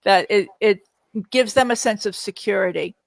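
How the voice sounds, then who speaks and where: Middle-aged woman with a creaky voice. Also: mid-range voice, moderate pace, no echo. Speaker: guest parent and experienced product user answering viewer questions. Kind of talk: casual live Q&A chat.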